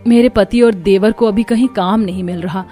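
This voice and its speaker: A woman's voice: medium pitch, normal speed, mellow, soft background music, lightly acted. A woman voicing a kind, humble, hardworking young village mother in a cartoon. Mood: humble, reassuring.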